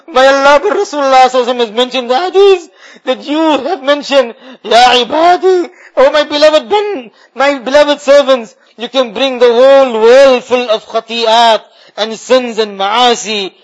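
A man leading an impassioned supplication, pleading tearfully and repeating his phrases with deep emotion. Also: normal speed, rough recording. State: tearful, crying. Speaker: prayer leader. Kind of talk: impassioned supplication prayer, public. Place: mosque.

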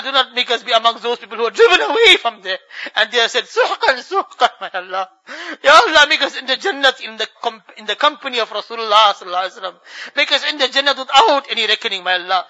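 Very high, bright-voiced man praying fervently. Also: poor audio. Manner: pleading, ends each plea with a refrain. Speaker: imam leading supplication. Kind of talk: fervent spoken prayer. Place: mosque.

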